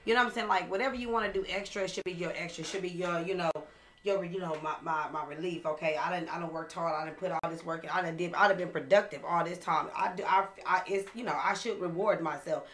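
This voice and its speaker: A speaker in a casual podcast discussion, animated but halting, often repeating phrases while working out a point. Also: medium pitch, a little hiss or hum.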